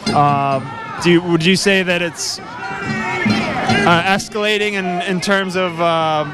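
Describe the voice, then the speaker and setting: A man interviewing at a street protest, asking hesitant, halting questions full of fillers. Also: high voice, very animated, over loud music, over a noisy crowd. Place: outdoors at a city protest.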